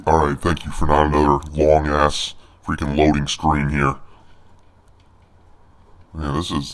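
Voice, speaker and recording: A man doing snarky gameplay commentary as a boastful, crude monster brute. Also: a bass voice. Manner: cocky, crude trash talk. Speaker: gaming video host. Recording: home recording with noisy game sound. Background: fighting game sound effects.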